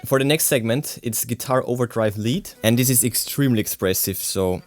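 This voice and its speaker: A man's voice: medium pitch, fairly quick. A man giving a casual, enthusiastic product demonstration as a tech reviewer, with exclamatory delivery. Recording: music playing behind the voice. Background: synth and guitar music.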